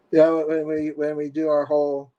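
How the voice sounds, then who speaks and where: A man with a mellow, soft-edged voice talks as a guest about his teaching, stopping and restarting often with plenty of fillers. He sounds easygoing and laughs readily between thoughts. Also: on the high side. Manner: hesitant, many fillers and restarts, laughs easily. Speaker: martial arts instructor, guest. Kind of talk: casual podcast conversation.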